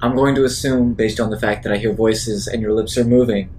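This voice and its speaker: A man's voice: lowish voice, fairly even intonation, a little fast, darkish tone, slight background noise. A man improvising casually in character as a player in a tabletop game.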